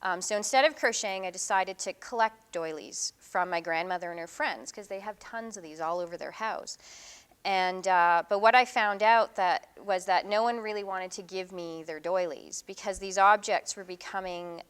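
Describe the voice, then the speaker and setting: A woman's voice: lowish voice, a bit bright, clean tone. A middle-aged woman giving an artist talk about her work, speaking casually and thoughtfully with frequent ums and restarts. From Canada. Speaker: visiting artist giving a lecture.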